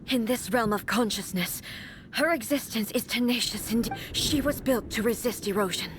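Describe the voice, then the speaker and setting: A woman as a solemn, high-voiced immortal warrior queen. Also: measured pace, background noise, lightly acted. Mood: grave resolve. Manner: grave, formal, declamatory. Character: solemn, resolute immortal female ruler, duty-bound warrior. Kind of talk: English video game character acting.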